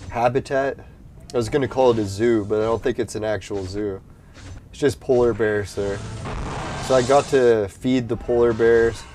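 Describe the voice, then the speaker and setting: A man's voice: medium pitch, a little mellow, slight background noise. A man hosting a live stream, chatting casually with viewers in a rambling, laid-back way, laughing often and losing his voice. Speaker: livestream host.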